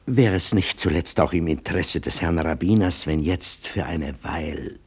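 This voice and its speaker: Husky, deep, furtive politician. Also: male voice, moderate pace, full character voice. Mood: anxious, confiding. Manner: confiding, hesitant, evasive. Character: furtive, anxious male politician worried about votes. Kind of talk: 1960s German radio play acting.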